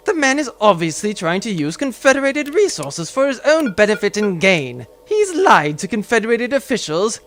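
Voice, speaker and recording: A man playing an arrogant, sarcastic aristocratic villain in a sci-fi audio drama, haughty, dismissive and theatrical. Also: high voice.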